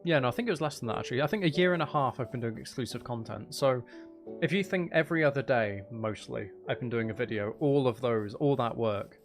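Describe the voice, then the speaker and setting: Male streamer, medium pitch, loose conversational rambling over faint music. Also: lively intonation, fast. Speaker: streamer, ASMR channel host. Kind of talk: casual livestream chat while gaming.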